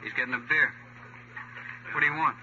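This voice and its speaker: Man acting defiant crime suspect, quick evasive retorts, medium-pitched American voice, muffled radio drama with heavy noise. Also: natural voice. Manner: evasive, snappish. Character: defiant, surly male tough guy suspect under questioning. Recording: muffled, very noisy old radio recording.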